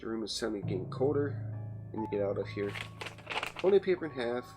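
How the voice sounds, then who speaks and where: A man's voice: lowish voice, animated, fast talker. A man hosting a let's play, reading story narration aloud with some dramatic emphasis and casual commentary. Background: loud game music.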